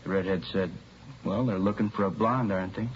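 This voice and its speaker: An actor playing a practical American police lieutenant in a 1950s radio drama, casual and businesslike. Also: male voice, low-pitched, fairly even intonation, fairly quick, natural voice. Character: plain-spoken, practical male police lieutenant. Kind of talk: 1950s radio drama acting, naturalistic underplayed dialogue. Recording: muffled, faintly noisy old radio recording.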